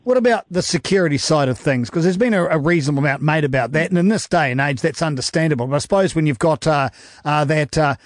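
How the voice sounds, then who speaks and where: A man's voice: a little high, animated, fast, dry recording, studio quality. A man hosting a radio show, asking questions in a casual, chatty way. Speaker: radio host.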